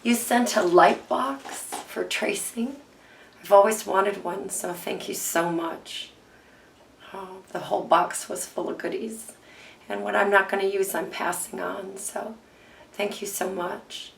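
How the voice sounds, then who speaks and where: A hoarse woman with a froggy voice hosting a casual crafting tutorial, chatting and thinking aloud with ums as she works. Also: mid-range voice, fairly even intonation, a little slow, a little hiss or hum. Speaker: craft video host.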